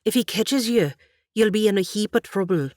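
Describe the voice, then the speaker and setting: A woman's voice: mid-range voice, normal speed, a little mellow, no room sound, studio quality, pitched above the performer's own voice, lightly acted. A woman doing shrewd Irish aunt. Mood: gentle. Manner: probing, lilting Irish turns of speech. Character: widowed Irish aunt, shrewd, stern but kind.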